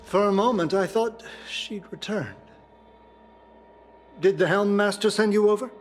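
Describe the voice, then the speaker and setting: A young male merchant from a video game, with a fairly high voice and music playing behind him. He is chatty and wistful, rambling along in a halting, heartfelt way. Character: grieving young man, friendly, chatty merchant guild rival.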